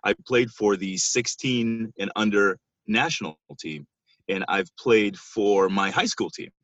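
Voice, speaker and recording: A man's voice: lowish voice, measured pace, a bit bright, dry recording, lo-fi sound. A man in his thirties from Israel, giving conversational interview answers as a podcast guest over a band-limited call. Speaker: podcast guest, basketball coach.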